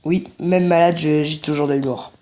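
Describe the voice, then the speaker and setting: A man's voice: on the high side, fairly even intonation, moderate pace, darkish tone. A man with a head cold and a stuffy, nasal voice, chatting casually to viewers from his couch at home while commentating a game. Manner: chatty, jokey asides to viewers. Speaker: gameplay commentator. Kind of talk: casual let's-play commentary.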